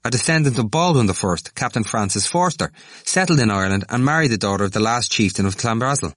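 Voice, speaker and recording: A man voicing an excitable, boastful young male student in an audiobook, eager and insistent, easily wounded. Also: mid-range voice, fast talker, no echo, clean studio sound, voice pushed up, close to an everyday voice. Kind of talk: audiobook character voice.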